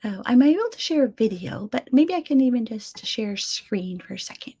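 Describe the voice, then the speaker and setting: A woman's voice: a little high, big pitch swings, brisk, warm tone, slight background noise. A Vietnamese American woman speaking as the guest artist in a webinar, talking reflectively with many fillers and restarts, heard over a video call. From United States. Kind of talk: casual webinar talk.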